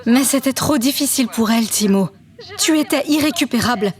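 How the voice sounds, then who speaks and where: A woman's voice: fairly high pitch, brisk, close to an everyday voice. A woman playing an articulate, judgmental, science-minded woman from a parallel universe in a French audio drama. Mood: reproachful. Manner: explanatory, reproachful.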